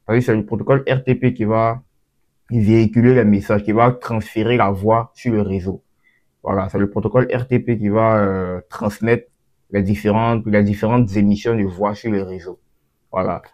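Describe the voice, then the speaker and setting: A man's voice: lowish voice, fairly even intonation, normal speed, a little mellow. A man giving a casual, off-the-cuff tutorial, often repeating and restarting his phrases as he explains. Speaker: tutorial host.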